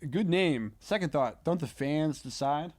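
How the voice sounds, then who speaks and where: Comedian guy on a podcast, halting and jokey, voice jumps around a lot. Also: a little high, lively intonation, a little mellow. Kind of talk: casual comic chat.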